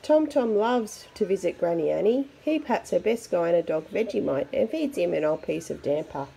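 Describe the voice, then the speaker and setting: A woman reading a picture book aloud to young children in an expressive, chatty way. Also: fairly low pitch, moderate pace, creaky, slight background noise, poor audio. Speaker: storybook reader for children.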